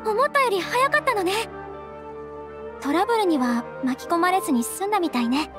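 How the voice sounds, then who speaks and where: An actress playing a refined, caring young noble lady in a game, with polite, softly feminine phrasing. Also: extremely high pitch, animated, fairly quick, music in the background. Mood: welcoming. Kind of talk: Japanese game voice acting.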